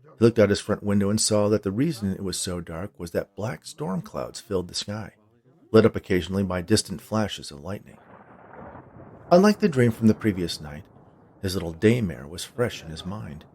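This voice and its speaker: A man's voice: on the low side, animated, normal speed, darkish tone, breathy edge. A man reading a scripted short story aloud as its narrator.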